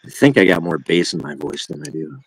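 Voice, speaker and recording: A man's voice: a bass voice, brisk, a little hiss or hum, poor audio. A man co-hosting a livestream, with a nasal, slightly whiny voice, chatting casually and laughing often. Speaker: livestream co-host. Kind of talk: casual chat.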